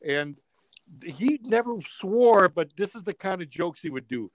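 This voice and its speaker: An older man born in Italy, a comedian telling stories in a casual chat, animated and full of restarts. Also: high-pitched, measured pace, dry recording, rough recording. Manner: animated storyteller, many restarts and fillers. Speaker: comedian guest.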